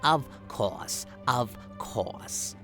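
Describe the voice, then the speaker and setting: A man voicing a deferential, scheming alien prison warden who speaks in halting, gravelly phrases for a radio play. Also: medium pitch, expressive, sharp tone, soft background music, heavily acted. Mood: compliant. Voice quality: gravelly, creaky. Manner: halting, drawn-out speech with questioning tags.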